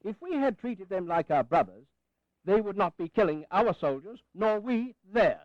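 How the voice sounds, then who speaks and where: An actor playing an indignant, principled old British general and minister in a historical audio play, sardonic and oratorical. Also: male voice, high-pitched, very animated, moderate pace, dry recording. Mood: defiant. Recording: slightly dull 1980s audio recording.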